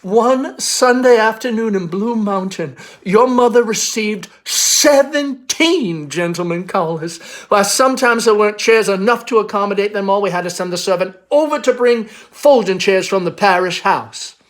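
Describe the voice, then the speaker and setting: Man playing an airy, chattering Southern belle mother, high and girlish. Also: normal speed, hardly any pauses, lightly acted. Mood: airy boasting. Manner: theatrical, rambling, gushing.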